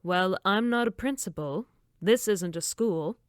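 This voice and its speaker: A woman's voice: medium pitch, measured pace, smooth, dry recording, studio quality, close to an everyday voice. A woman playing a prim, condescending female camp director in a horror audio drama, coolly polite. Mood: stern, dismissive. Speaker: voice actor.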